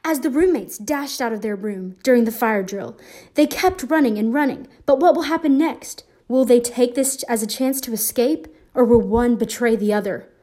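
A woman's voice: fairly high pitch, normal speed, dark tone. A young woman reading scripted announcements and ad copy for an amateur radio drama. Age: teenager. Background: music.

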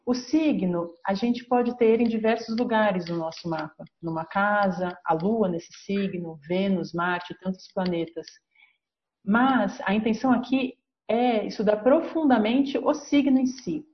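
Woman, Brazilian Portuguese accent, astrologer podcast host, didactic, mid pitch, slightly muffled lo-fi audio. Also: measured pace. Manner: didactic, explains step by step. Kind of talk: podcast lesson.

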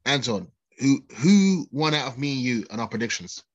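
A man's voice: medium pitch, expressive, moderate pace. A man co-hosting a casual podcast while snotty with a cold, chatty and laughing often. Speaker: podcast co-host.

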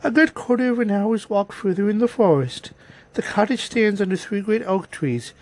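Man putting on an innocent little girl, high-pitched. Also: normal speed, lightly acted. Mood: polite, trusting. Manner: earnest, polite. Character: innocent, polite, trusting little girl in a fairy tale.

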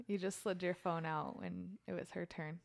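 A woman in her thirties chatting casually as a podcast guest, laughing often and adding quick asides. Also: lowish voice, fairly even intonation, vocal fry. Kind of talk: casual chat.

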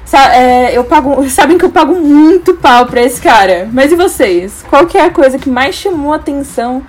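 A woman's voice: high voice, animated, moderate pace. A young woman with a Brazilian accent hosting a casual podcast in an enthusiastic, slangy way. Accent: Brazilian Portuguese. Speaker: podcast host.

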